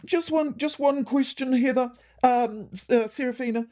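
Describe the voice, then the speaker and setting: A woman with a New Zealand accent voicing an anxious male cat who asks questions in a halting, pleading way. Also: sits high, dark tone, voice pushed up, natural voice. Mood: anxious, hesitant.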